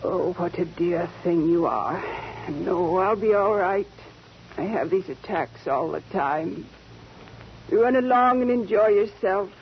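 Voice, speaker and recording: A woman's voice: fairly low pitch, lively intonation, measured pace. An actress playing an ailing, sentimental old society lady with a genteel, effusive manner in a 1940s radio drama. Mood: weak, grateful. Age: older. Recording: muffled, hissy 1940s radio recording.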